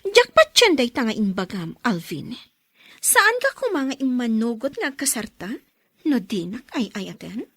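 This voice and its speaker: A woman with an Ilocano accent playing a hurt, pleading young wife in an audio drama, in a husky voice. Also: a little high, very animated, moderate pace, fairly bright tone, dry recording, exaggerated voice. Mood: hurt, bitter. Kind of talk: Ilocano radio drama acting.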